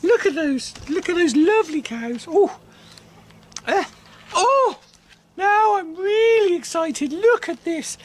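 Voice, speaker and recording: A middle-aged man voicing a flirty, daft woman supermodel from Sweden, with a fake Swedish accent drifting Irish and a camp, breathy manner. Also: expressive, slow, heavily acted. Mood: gushing. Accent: wobbly fake Swedish drifting Irish. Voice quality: high falsetto, camp, breathy ooh-ing. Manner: flirty, rambling, self-mocking. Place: dark barn.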